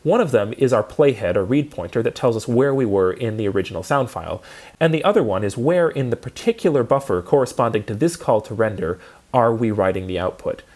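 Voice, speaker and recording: A man giving a prepared technical lecture, explaining methodically in clearly structured phrases. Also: medium pitch, expressive, a little fast, darkish tone. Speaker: lecturer in audio programming. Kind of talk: prepared instructional lecture narration.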